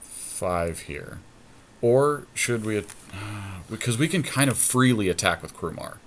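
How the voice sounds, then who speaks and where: A man co-hosting a gaming podcast, talking casually and deliberately as he works out strategy aloud during a card game. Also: fairly low pitch, expressive, a little hiss or hum. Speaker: podcast co-host commentating on own card game play.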